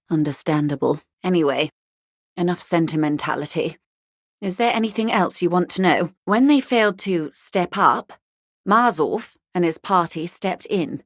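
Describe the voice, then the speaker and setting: An actress playing a worldly, genteel corporate negotiator in a video game, speaking in a dry, ironic, measured and articulate way. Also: female voice, fairly low pitch, no echo, natural voice. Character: worldly, wry corporate negotiator woman, coolly detached and genteel. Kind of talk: scripted video game dialogue.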